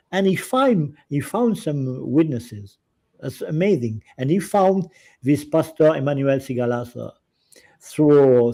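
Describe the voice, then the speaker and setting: Guy hosting an interview, warm low-key voice, keeps stumbling and restarting. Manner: hesitant, halting, many restarts in his phrasing. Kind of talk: casual interview, partly reading aloud.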